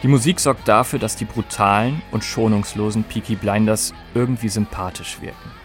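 A man's voice: fairly low pitch, steady tone, moderate pace, faint music behind. A man presenting a scripted podcast documentary in an explanatory way, speaking directly to listeners. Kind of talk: scripted music documentary narration.

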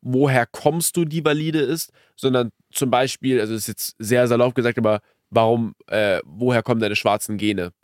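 A man's voice: mid-range voice, normal speed, hardly any pauses, dry recording, clean studio sound. A man in his early twenties chatting casually as a podcast co-host, using youthful slang peppered with English loanwords. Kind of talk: casual conversational podcast chat.

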